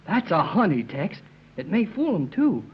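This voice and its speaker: An actor playing a plucky youngster with slangy, colloquial speech and a husky voice in an old-time radio serial. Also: medium pitch, sing-song, moderate pace, slight background noise, natural voice. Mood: excited, plucky. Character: spirited, slangy, plucky youngster named Jerry. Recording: muffled vintage radio recording.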